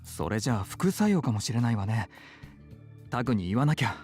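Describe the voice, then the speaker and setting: An actor voicing an effeminate, caring male clinic staffer in a visual novel, with soft, feminine speech patterns. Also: lowish voice, sing-song, very fast, slightly rough, soft background music. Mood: concerned.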